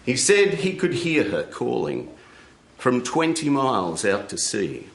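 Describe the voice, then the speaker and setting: A man telling a folk tale live in a measured way, with dramatic pauses. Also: mid-range voice, huge pitch swings, echoey room. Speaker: storyteller. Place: radio studio with live audience.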